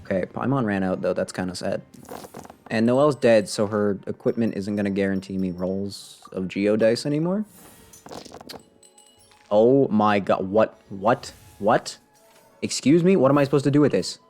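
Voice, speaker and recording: A man's voice: lowish voice, animated, moderate pace, a little mellow, faint music behind. A man doing casual live game commentary, grumbling wryly and talking to himself and the game. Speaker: game streamer. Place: live stream, game music behind the voice.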